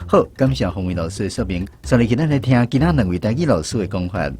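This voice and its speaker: A man's voice: on the low side, lively intonation, fast talker, a little mellow. A man with a Taiwanese accent presenting a radio programme as its host, reading scripted segments and credits. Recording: music playing behind the voice. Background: background music.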